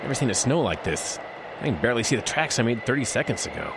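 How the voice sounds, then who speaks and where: A man's voice: mid-range voice, big pitch swings, quick pace, gravelly. A man playing a freezing, anxious groom-to-be in a horror audio drama, fretfully talking to himself, in a noisy recording. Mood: anxious, exasperated.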